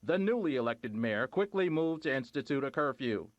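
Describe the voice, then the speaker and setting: A man voicing a TV news anchor in a game cutscene, reading headlines smoothly. The sound is dry and a little dull. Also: medium pitch, expressive, normal speed, no room sound, natural voice. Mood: newsreading. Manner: smooth newsreader delivery.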